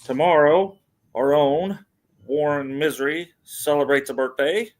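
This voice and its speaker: A middle-aged man hosting a podcast, casually reading out wrestling news and announcements in a halting, hesitant way. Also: on the high side. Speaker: podcast host.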